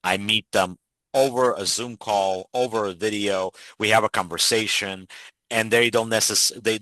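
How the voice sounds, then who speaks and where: A man's voice: on the low side, sharp tone, no echo, low-quality recording. A man from Ukraine hosting a podcast, talking in an earnest, persuasive way with frequent restarts. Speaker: podcast host. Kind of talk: casual interview chat.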